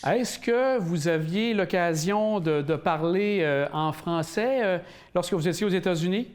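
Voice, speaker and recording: A man's voice: high voice, unhurried. A man hosting a local TV interview, asking questions with many fillers and hesitations. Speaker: interviewer and host. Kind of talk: community TV interview.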